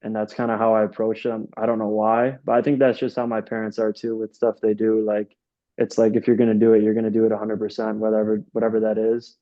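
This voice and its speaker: A man's voice: on the low side, completely flat delivery, fast talker, lo-fi sound. A young man from upstate New York, a soccer coach, answering interview questions in a casual, rambling way full of fillers and restarts. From Rochester, New York.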